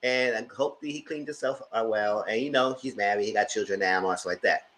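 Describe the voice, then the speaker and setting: A man with a light, high-pitched voice, chatting casually as host of a live documentary review and greeting viewers. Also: brisk, a bit bright. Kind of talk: casual live-stream commentary.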